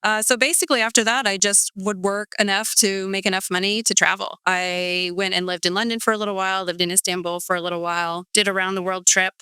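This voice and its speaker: A woman's voice: mid-range voice, steady tone, fast talker, hardly any pauses, sharp tone, clean tone, dry recording. Chatty Californian woman in her forties. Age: 40s. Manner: chatty, rambling, many fillers like um and like. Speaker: guest. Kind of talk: casual interview storytelling.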